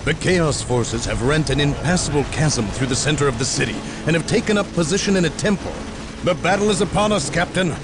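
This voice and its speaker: Actor as an urgent, high-pitched marine battle brother. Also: male voice, a little fast, pauses often, close to an everyday voice. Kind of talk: dramatic game cutscene battle report.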